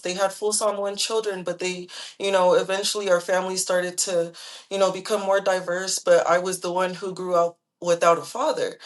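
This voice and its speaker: A woman in her twenties telling her life story earnestly as an interview guest, with many pauses and fillers. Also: medium pitch. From Southern California. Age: 20s.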